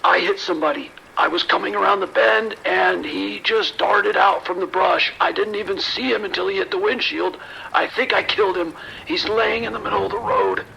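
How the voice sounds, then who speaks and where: A man's voice: sits high, moderate pace, gravelly, sharp tone, slight background noise, exaggerated voice, poor audio. A man playing a frightened, shaken civilian calling emergency services in a horror audio drama, stammering and anxious. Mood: shaken, guilty.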